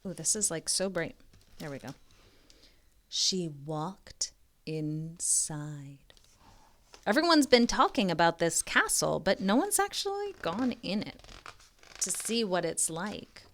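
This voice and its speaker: A woman reading a children's story aloud as a tutor, expressively and deliberately, with informal, encouraging asides to young listeners. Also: fairly low pitch, very animated, slight background noise. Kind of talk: modeled children's read-aloud with chatty asides.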